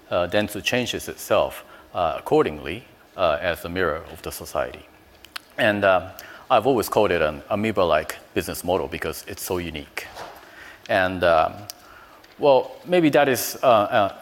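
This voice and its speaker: A man from Japan, speaking hesitantly as a panelist, with frequent pauses. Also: low-pitched, fairly bright tone. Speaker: panelist, business development director. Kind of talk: panel discussion answers. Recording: hall reverb with faint noise.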